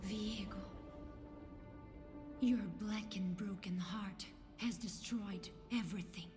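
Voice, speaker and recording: An actress playing a grieving dead queen in an animated game cinematic, solemn and reproachful toward her obsessive husband. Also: mid-range voice, lightly acted. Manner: solemn, grave, deliberate delivery. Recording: loud cinematic music behind the voice.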